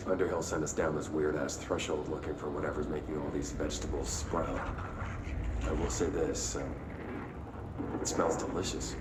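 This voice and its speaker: Very deep-voiced ranger captain. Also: male voice, fairly even intonation, moderate pace. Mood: gruff, intrigued. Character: gruff male ranger captain, greedily entranced. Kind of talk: video game dialogue acting. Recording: echoey, with loud background noise.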